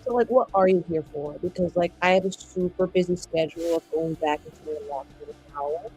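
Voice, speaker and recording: Girl playing a defiant young female mage in a fantasy rpg, husky low-ish voice, dripping sarcasm, dismissive drawl. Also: a little fast, a little mellow, low-quality recording. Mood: bitterly sarcastic. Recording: music behind the voice.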